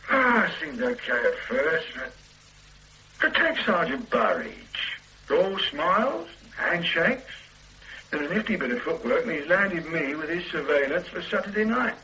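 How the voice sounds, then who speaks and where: Radio play: blunt cop, dry and wry, bright tone. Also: male voice, a little high, very animated, unhurried, slight background noise, full character voice, rough recording. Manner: terse with superiors, wry at home. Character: blunt rough-diamond male detective sergeant. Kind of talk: 1980s radio drama acting.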